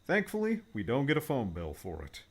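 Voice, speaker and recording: A man's voice: mid-range voice, huge pitch swings, a little fast, breathy edge, voice pushed up, exaggerated voice. A man voicing an upbeat, reassuring male tech mentor who explains gadgets in a cheerful manner. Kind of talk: audiobook character voice.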